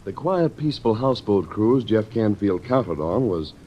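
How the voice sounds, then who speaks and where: A man's voice: lowish voice, expressive, normal speed, warm tone. A man giving scripted narration in 1940s radio style. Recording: muffled, noisy old radio recording.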